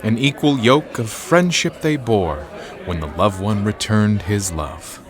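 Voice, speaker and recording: Actor as a low-voiced, bookish romantic man. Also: animated, unhurried, crowd noise. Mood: gentle, hopeful. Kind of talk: audio drama dialogue. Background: music.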